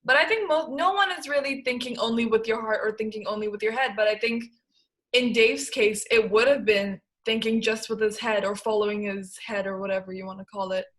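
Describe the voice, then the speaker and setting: A woman's voice: a little high, brisk, fairly bright tone. A young woman hosting a casual discussion podcast, talking hesitantly with many fillers. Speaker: podcast host. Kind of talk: casual discussion on video call.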